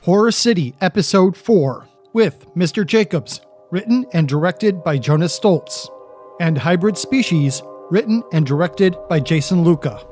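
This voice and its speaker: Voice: mid-range voice, lively intonation, unhurried, a little mellow. Podcast credits announcer over prominent music. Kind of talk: scripted podcast credits narration. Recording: music under the voice.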